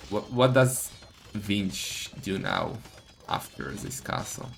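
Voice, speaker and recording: A man giving excitable, casual live esports commentary, full of exclamations and questions. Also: lowish voice, slow pace, gravelly, soft background music.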